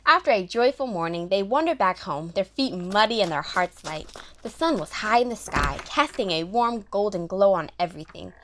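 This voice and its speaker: A woman narrates a scripted story for children. Her voice sits at an average pitch for a woman. She reads as the storyteller of an audio drama. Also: animated, moderate pace, a little hiss or hum.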